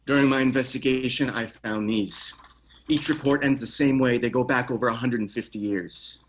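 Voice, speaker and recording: A man playing a grave, haunted police detective in a screenplay table read, serious and measured. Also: mid-range voice, steady tone, slight background noise, lightly acted. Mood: intent, urgent.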